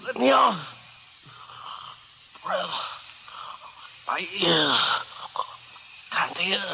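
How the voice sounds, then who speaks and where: Sly, creaky old killer. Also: male voice, sits high, pushed and bright, heavily acted. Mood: desperate, panicked. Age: older. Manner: theatrical, gloating, repeats himself, murmuring asides. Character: smug, sly old male serial killer of women. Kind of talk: 1940s radio horror acting. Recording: noisy, dull vintage radio recording.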